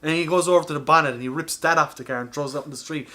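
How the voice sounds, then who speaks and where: A man's voice: fairly high pitch, very fast, pushed and bright. An Irish man co-hosting a podcast, telling film stories in a casual, informal and sweary way. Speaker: podcast co-host. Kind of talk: casual film chat, animated storytelling.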